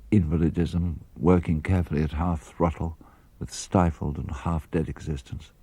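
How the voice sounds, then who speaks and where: A man with a British accent reading a diary entry for radio in a grave, somber, measured way. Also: deep voice, warm tone, slightly rough, no echo. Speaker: reader of letters and diaries.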